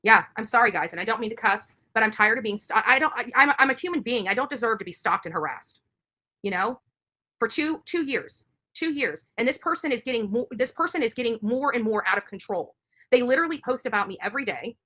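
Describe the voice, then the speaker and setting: A woman speaks at a rapid clip in a bright, forceful voice. She is a live streamer on an indignant rant, hammering points home by repeating them. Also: fairly high pitch, animated. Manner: emphatic, indignant, repeats herself.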